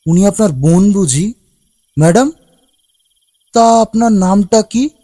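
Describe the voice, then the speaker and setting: A man voicing a sly young village thug in an animated ghost story, falsely polite and pushy. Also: high voice, slow, dry recording, clean studio sound. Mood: leering. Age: young adult.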